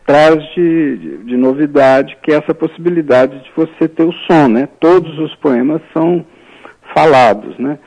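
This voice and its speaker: A man's voice: a little high, moderate pace, a little mellow, breathy edge, rough recording. A man, a writer, talking as a guest in a radio interview, speaking thoughtfully and hesitantly, with many fillers and restarts.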